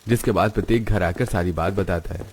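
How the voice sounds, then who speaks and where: A man's voice: sits low, a little fast, a little mellow, slight background noise. A man reading scripted storytelling narration for a moral tale.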